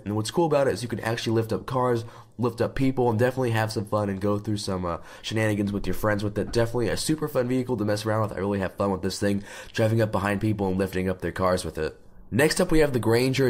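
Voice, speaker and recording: Raspy sick guy doing a quick chatty game guide voiceover, lowish voice. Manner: upbeat, chatty. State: sick, losing his voice. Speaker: gaming YouTuber.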